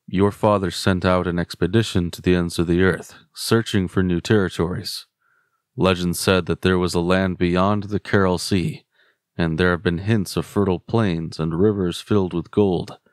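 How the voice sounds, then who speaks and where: A stern captain of the guard in a fantasy audio drama. His voice sits low, and he speaks with grave, measured weight. The sound is dry. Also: no room sound.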